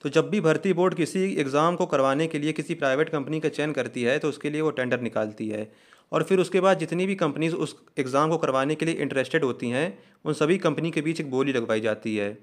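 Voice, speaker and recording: A man with a somewhat high-pitched voice narrates an explanatory news update as a channel host. He goes through each point in a methodical, step-by-step way, spelling out terms as he goes. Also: brisk.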